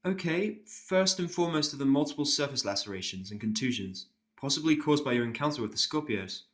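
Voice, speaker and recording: A man's voice: mid-range voice, lively intonation, brisk, a bit bright, pitched above the performer's own voice, lightly acted. A man voicing a polite, timid female nurse pony, earnest and deferential, in an amateur audio drama. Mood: careful, nervous. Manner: deferential, explanatory.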